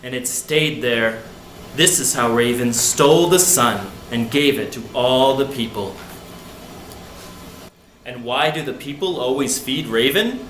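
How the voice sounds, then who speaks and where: A man's voice: medium pitch, a little slow, fairly bright tone. A man narrating a folk tale for children in simple, short phrases. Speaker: storyteller. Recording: echoey room, faint background noise.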